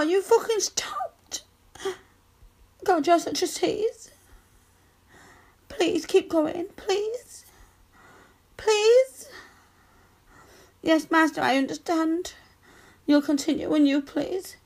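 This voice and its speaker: Woman acting a very high-voiced submissive, pleading lover. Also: exaggerated voice. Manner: breathy moaning and begging. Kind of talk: erotic audio roleplay.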